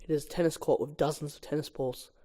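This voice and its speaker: A man's voice: on the high side, steady tone, fast, mellow. A young man, an amateur reader working through a film script aloud and chatting casually, with a sweary, exasperated edge.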